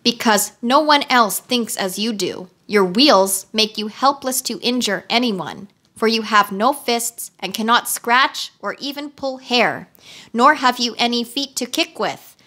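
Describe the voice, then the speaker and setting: A calm copper machine-man from a storybook, read by a woman, measured and commanding. He sounds unfeeling and steady, with a bright, clear tone. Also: fairly high pitch.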